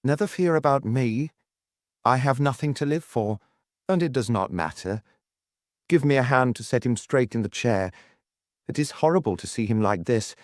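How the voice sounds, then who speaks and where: A man voicing a young noblewoman who speaks in a quiet, composed way. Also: medium pitch, lively intonation, moderate pace, dry recording, studio quality, natural voice. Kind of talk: audiobook reading.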